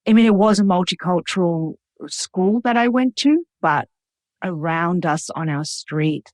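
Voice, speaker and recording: A woman's voice: fairly low pitch, expressive, unhurried, a little mellow, no room sound. A woman with an Australian accent, a guest cook and writer, talking reflectively with lots of "like" and "you know". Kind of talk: conversational interview.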